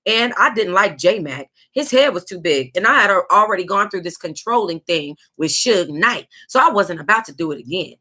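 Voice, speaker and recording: Bright-voiced, sassy American woman reading aloud. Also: medium pitch. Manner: sassy, animated reactions, breaking into laughter and asides. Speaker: book club host reading aloud. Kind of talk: reading a tell-all book aloud with casual, reactive asides.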